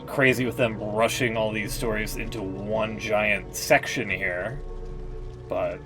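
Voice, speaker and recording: A man's voice: lowish voice, steady tone, takes it slowly. A man streaming a game, reading lore passages aloud and breaking off to react casually and swear freely. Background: game music.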